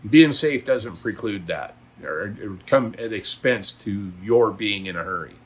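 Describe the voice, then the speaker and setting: A man's voice: lowish voice, animated, measured pace, husky. Blunt, folksy older male trucker. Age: middle-aged or older. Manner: blunt, folksy, opinionated, emphatic. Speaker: trucker and video blogger. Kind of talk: casual vlog monologue.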